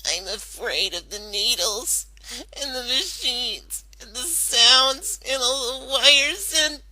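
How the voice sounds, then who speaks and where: A woman voicing a stubborn young cartoon pony who is frightened and in pain, speaking in an emotional, halting way for a fan-fiction reading. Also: high-pitched, expressive, bright, forceful tone, heavily acted, low-quality recording. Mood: tearful, afraid.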